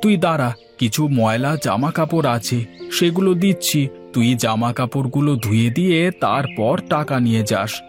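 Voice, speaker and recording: A man's voice: mid-range voice, animated, moderate pace, faint music behind, lightly acted. A man voicing a paternal, bossy older village landlord in a moral story cartoon, with an authoritative manner. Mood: kindly, instructing.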